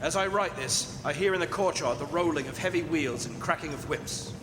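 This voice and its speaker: A man playing a desperate young English gentleman prisoner, narrating his diary with dramatic dread in a radio drama. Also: fairly high pitch, fairly quick, noisy background, close to an everyday voice. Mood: haunted dread. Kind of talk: old-time radio drama acting on stage. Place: performing arts center stage. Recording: echoing theatre hall, music behind.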